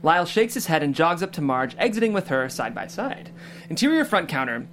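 A man's voice: a little high, sing-song, fairly quick, a bit bright, soft background music. A man reading scripted stage directions as the narrator of a table read.

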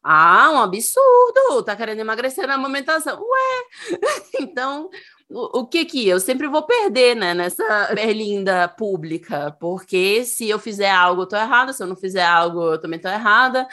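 A woman's voice: on the high side, very animated, moderate pace, bright, forceful tone. A woman content creator in casual conversation, speaking emphatically and animatedly, with frequent laughter.

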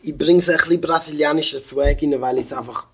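Relaxed young Swiss German rapper. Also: male voice, on the high side, quick pace, a crowd in the background. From Switzerland. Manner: relaxed, mixes English phrases into German. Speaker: rapper guest. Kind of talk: casual interview chat.

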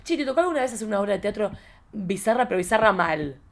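A woman with a Rioplatense Argentine accent hosts a relaxed conversation. Her voice sits at a middle pitch with plenty of gravelly fry. She talks in an informal, slangy way. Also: fast, a crowd in the background. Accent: Rioplatense Argentine Spanish. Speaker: podcast host. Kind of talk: casual chat.

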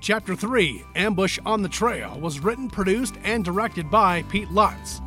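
A man's voice: sits high, lively intonation, a little slow. An American man working as announcer, reading scripted narration for an old-time style radio serial. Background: loud music.

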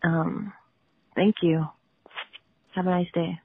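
A nervous woman with a low, husky voice speaks over a narrow phone line. She is leaving an anonymous confession on voicemail. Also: level tone, takes it slowly. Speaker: anonymous caller.

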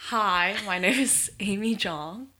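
A woman's voice: medium pitch, slow, bright, forceful tone. A woman in her twenties, from Korea, chatting as a podcast guest, giggly and hesitant with lots of fillers. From South Korea. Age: 20s. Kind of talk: casual interview chat.

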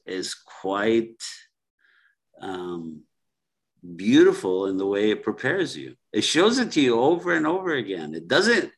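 Slow, gravelly man musing thoughtfully. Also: medium pitch, low-quality recording. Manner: slow and ruminative, with many fillers and repetitions. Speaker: guest speaker. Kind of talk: reflective podcast conversation.

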